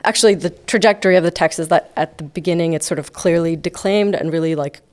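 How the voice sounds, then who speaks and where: Guest composer, woman in her thirties, from Iceland, low-pitched, hesitant and reflective, lots of fillers. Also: quick pace. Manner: thoughtful, hesitant, many fillers. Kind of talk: casual podcast interview.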